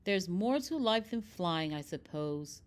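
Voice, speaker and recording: A woman voicing an eager, hopeful young male bird in a children's storybook, expressive and easily deflated. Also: on the low side, takes it slowly. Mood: resigned. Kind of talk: children's storybook read-aloud.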